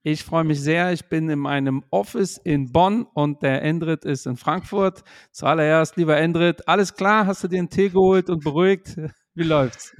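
Higher-voiced man hosting a podcast. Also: moderate pace, few pauses, no echo, studio quality. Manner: upbeat greetings, many fillers. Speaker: host. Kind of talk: podcast chat. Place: home office.

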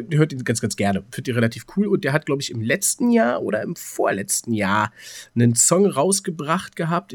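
A man's voice: medium pitch, no room sound. A man co-hosting a podcast, chatting casually in rambling anecdotes and often hesitating as he searches for words. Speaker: podcast co-host.

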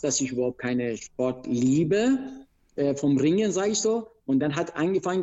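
A man from Iran with an Iranian accent, a wrestler recounting his career in an interview, speaking in halting, broken phrases with many restarts. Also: medium pitch, mellow, dull audio. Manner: broken grammar, frequent restarts and hesitations. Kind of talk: interview, telling his life story.

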